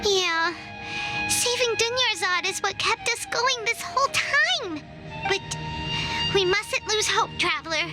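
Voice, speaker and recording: Casting: tiny loyal game fairy, extremely high squeaky child voice, swooping and emotional, music underneath. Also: female voice, animated, slow pace, full character voice, low-quality recording. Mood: upset, resolute. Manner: exclamatory, earnest, refers to self in third person. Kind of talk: video game character acting. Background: loud music.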